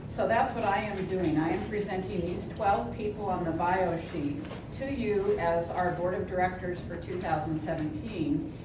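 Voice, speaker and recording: A woman board member with a loud voice, walking a meeting through the board election in a plain, procedural way. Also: on the low side. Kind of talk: meeting presentation. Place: meeting hall. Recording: roomy hall, distant mic, background noise.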